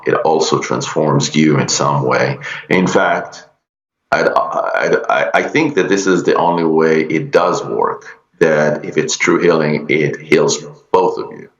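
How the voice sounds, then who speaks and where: Casting: middle-aged Israeli man, very low voice, slow and halting, echoey room on lo-fi audio. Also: fairly bright tone. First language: Hebrew. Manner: halting, many fillers and restarts. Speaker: guest, energy healer. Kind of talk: podcast interview.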